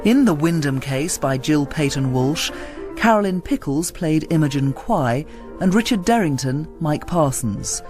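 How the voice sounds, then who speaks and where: A man's voice: on the high side, expressive, measured pace, over music. A man with a British accent reading scripted credits as a radio announcer, formal and orderly.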